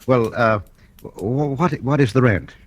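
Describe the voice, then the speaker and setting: An actor playing a nervous, evasive male clerk who speaks haltingly and politely in a 1940s radio drama. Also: medium pitch, a little hiss or hum, natural voice. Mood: flustered, evasive. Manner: halting, stammering, flustered politeness. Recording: old radio recording with music behind.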